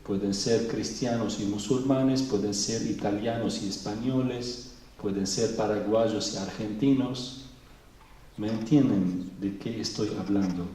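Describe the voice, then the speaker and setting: A middle-aged man from Venezuela speaking slowly and thoughtfully at a public talk, pausing often. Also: on the low side, warm tone, slight background noise. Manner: slow and thoughtful, with pauses and fillers. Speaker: Orthodox bishop giving a talk. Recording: echoey hall, mic far off.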